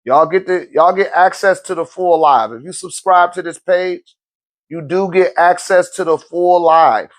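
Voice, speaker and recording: Male live-stream host, slightly high voice, relaxed slangy chat with viewers. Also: unhurried. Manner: loose, conversational, slangy, laughs often. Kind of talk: casual live-stream talk answering viewer questions.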